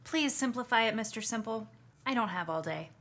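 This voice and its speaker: A woman's voice: on the high side, animated, a little fast, fairly bright tone. An actress playing an anxious, impatient female client searching for a missing man in a noir skit. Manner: pleading, impatient.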